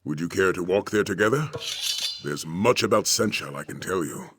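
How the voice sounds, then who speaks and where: Grave seasoned captain, very low voice, courteous, measured, formal, video game dialogue. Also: male voice, animated, noisy background. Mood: calm, companionable. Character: grave, courteous male veteran captain and dragon hunter.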